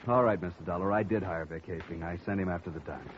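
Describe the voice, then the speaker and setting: A man's voice: sits low, quick pace, close to an everyday voice. An actor playing a nervous male schemer in a 1950s radio drama, talking earnestly and pleading. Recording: dull old radio broadcast, faint hiss.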